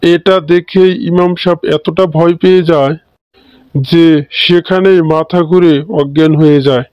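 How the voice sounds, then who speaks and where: High, smooth-voiced male story narrator. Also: steady tone, normal speed, no echo, dull audio.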